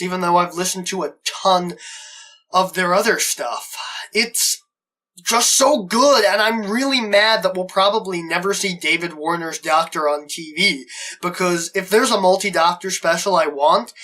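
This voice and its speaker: A man with a bright, forward voice delivers scripted review commentary as a show host. He is openly enthusiastic, leaning on his words with gushing emphasis. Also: high-pitched.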